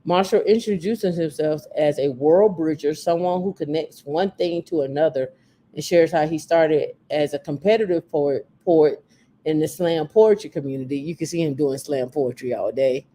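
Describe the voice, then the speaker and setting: A woman with a naturally deep voice, hosting a casual podcast chat and repeating her points for emphasis. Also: normal speed, dark tone, a little hiss or hum. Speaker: podcast host.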